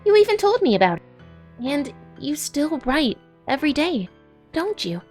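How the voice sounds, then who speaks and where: A woman's voice: high voice, sing-song, normal speed, darkish tone, soft background music. A woman voicing an eager, bookish young female cartoon pony with an earnest, wordy, apologetic manner in a comic dub. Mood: confident, earnest.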